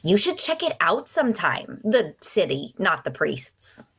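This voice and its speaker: Chatty, teasing young female adventurer played by a woman, very animated delivery, folksy and persistent, for fantasy audio drama. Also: fairly low pitch, measured pace, husky, full character voice. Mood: curious, friendly. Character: chatty, nosy, cheerful young female traveler. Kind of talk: dark fantasy audio drama table read.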